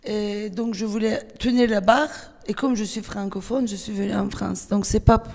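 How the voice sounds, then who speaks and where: A woman with a Turkish accent, a native Turkish speaker, speaking at a festival panel, hesitant, with many fillers and restarts, animated when she tells a story. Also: medium pitch, moderate pace, creaky. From Turkey. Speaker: panel guest, writer. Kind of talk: panel discussion. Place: festival hall. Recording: long hall reverb.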